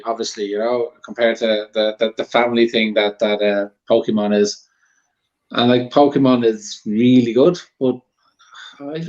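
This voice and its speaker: A man's voice: medium pitch, a little mellow. Casual man on a dull, lo-fi line. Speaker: guest panelist on a movie debate show. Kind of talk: casual chat, giving opinions.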